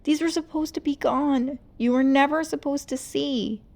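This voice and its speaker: A woman voicing a desperate young half-demon girl singer in a storybook, with frantic, breathy pleading. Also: high voice, steady tone, moderate pace, darkish tone, pitched above the performer's own voice. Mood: ashamed.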